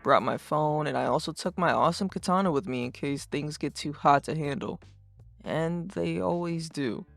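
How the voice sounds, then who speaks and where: A performer voicing a brash, sarcastic, too-cool teenage boy who rants and swears with deadpan cool in a fan-dubbed comic. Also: mid-range voice, normal speed, vocal fry, no echo, natural voice. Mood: cocky. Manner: foul-mouthed, ranting, deadpan cool. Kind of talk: fandub of a webcomic chat. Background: music.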